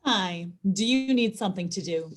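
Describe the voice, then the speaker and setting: A woman's voice: mid-range voice, animated, moderate pace, close to an everyday voice. A woman playing a stern, exasperated grocery store supervisor, curt and chiding, in an audio drama. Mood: condescending.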